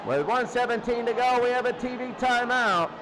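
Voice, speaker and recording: A man's voice: very high voice, normal speed, crowd noise. A man doing play-by-play radio-style football commentary in short, clipped, repeated phrases. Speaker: play-by-play sports announcer. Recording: noisy recording.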